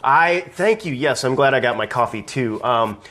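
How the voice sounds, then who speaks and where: A man hosting a casual live video stream, talking conversationally, greeting viewers by name and ranting, his voice slightly raspy from allergies. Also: medium pitch, lively intonation, moderate pace, fairly bright tone.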